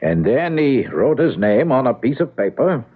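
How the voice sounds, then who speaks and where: Thin, phone-like old radio drama sound. a methodical, deferential police inspector with a husky voice and lively, swinging intonation, patiently probing as he questions. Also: mid-range voice, normal speed. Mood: politely probing. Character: polite, methodical male Scotland Yard police inspector. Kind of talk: radio mystery drama acting.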